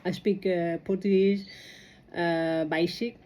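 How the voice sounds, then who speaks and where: A woman who speaks English as a second language, reflecting casually on her own experience as a migrant in a halting, rambling, earnest way. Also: lowish voice, fairly even intonation, a little mellow. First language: non-native English speaker. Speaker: migrant sharing personal experience.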